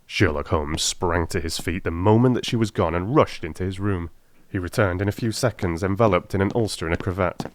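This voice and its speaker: A man's voice: sits low, a little fast, a little hiss or hum. A man narrating an audiobook in the first person, reading scripted prose in a dramatic style.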